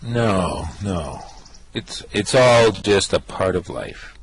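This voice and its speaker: A man's voice: fairly low pitch, takes it slowly. A man playing an impatient, sarcastic fugitive in an amateur space radio play. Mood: exasperated. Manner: sarcastic, exasperated.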